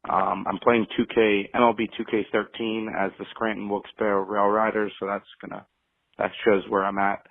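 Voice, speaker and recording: A man's voice: on the low side, normal speed, vocal fry, no echo. An American man leaving a casual, rambling, bored-sounding voicemail over a dull phone line. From New Jersey, United States. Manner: bored, deadpan. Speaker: voicemail caller.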